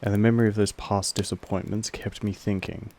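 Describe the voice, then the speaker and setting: A man's voice: on the low side, flat delivery, fairly quick, darkish tone, lightly acted. A man playing a possessive, aloof vampire master in an audio drama, speaking formally and condescendingly in an intimate monologue to the listener. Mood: reflective, guarded. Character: possessive, aloof male vampire master, patronizing yet fond. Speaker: voice actor in a roleplay audio drama.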